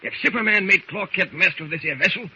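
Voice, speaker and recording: An actor playing a scheming, impatient Cockney sailor henchman in rough nautical slang, in old radio drama style. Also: high-pitched, quick pace, bright, forceful tone, heavily acted. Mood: urgent, conspiratorial. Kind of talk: 1940s radio acting. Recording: muffled, noisy old radio recording.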